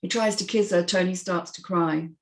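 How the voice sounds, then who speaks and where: Woman, slightly low voice, script narrator and session host, measured reading and chairing. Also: fairly even intonation. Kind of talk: reading screen directions aloud, moderating discussion.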